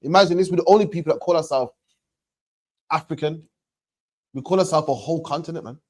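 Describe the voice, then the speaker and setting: Guy preaching hard at someone, keeps saying "man", bit higher voice than most. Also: quick pace. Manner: emphatic, repeats points, ends lines with "man". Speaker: religious teacher. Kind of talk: street preaching to a questioner.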